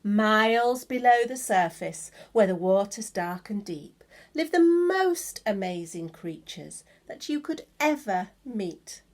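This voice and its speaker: Slow, expressive woman reading to children. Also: medium pitch. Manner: expressive, drawn-out emphasis, pointing things out to young listeners. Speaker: teacher reading a picture book to children. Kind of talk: read-aloud children's verse storytelling.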